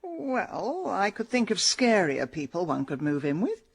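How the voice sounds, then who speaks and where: A determined middle-aged British woman sleuth in a radio mystery. Her voice is husky and swings freely in pitch, with a dry, sharp-tongued delivery. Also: very animated, measured pace, full character voice. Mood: guarded, wry. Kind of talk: radio drama acting.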